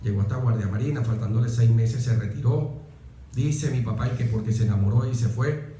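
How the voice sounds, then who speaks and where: A man from Venezuela with a Venezuelan accent, telling personal stories as an interview guest, phrasing deliberately and adding little tag questions. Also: medium pitch, dark tone, a little hiss or hum. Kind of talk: reflective personal storytelling in an interview. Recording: very echoey, reverberant room.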